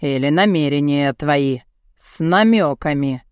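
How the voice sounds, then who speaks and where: A woman voicing a gruff, burly bearded man in an audiobook, brazen and lecherous, with a blunt, mocking way of talking. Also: sits low, measured pace, a little mellow, no room sound, clean studio sound, voice pushed down. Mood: gruff, accusing. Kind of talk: audiobook character dialogue.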